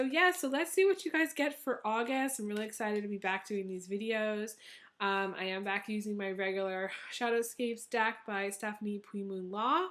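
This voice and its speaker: A young woman with a hoarse, fading voice, reading tarot in a casual, rambling way with many pauses and ums. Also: mid-range voice, fairly bright tone. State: hoarse, losing her voice. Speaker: tarot reader.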